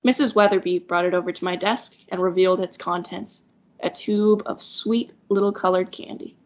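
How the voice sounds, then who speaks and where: A woman's voice: lowish voice, steady tone, normal speed, natural voice. A woman voicing a lonely obedient schoolgirl. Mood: wistful. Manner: soft, wistful, a little fearful. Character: lonely young schoolgirl left behind, obedient. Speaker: narrator of the story. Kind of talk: first-person audio drama narration. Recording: muffled audio with piano score.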